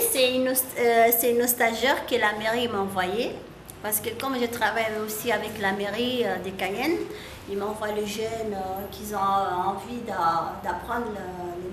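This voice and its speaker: A woman from the Comoros, a hairdresser talking casually and proudly about her salon while she works, often repeating words. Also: a little high. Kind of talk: casual interview talk while working. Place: hair salon. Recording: echoey room, distant mic, background noise.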